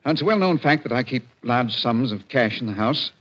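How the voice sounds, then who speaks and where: A wealthy, self-assured broker talks at a middling pitch. His delivery is formal and precise, in 1940s radio style. The recording is dull and lo-fi. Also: expressive, fairly quick, fairly bright tone. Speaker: actor in a mystery drama.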